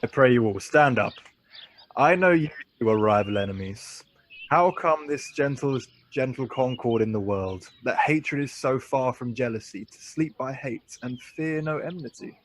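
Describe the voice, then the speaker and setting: An actor playing a proud, genial duke, declaiming with grand authority in a Shakespearean radio play. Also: male voice, medium pitch, animated, normal speed, natural voice. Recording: faint noise with birdsong outdoor ambience.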